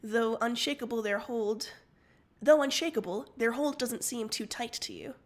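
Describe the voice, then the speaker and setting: A woman's voice: on the high side, moderate pace. A woman reading second-person fiction aloud as the narrator of a livestreamed story.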